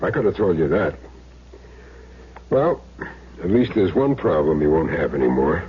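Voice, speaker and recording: An actor playing a cool, wisecracking hired killer in a radio drama, dry and glib. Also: male voice, low voice, expressive, normal speed, slightly rough, heavily acted. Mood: cool, businesslike. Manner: dry, glib, evasive answers. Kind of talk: 1970s radio drama acting. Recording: dull vintage radio broadcast.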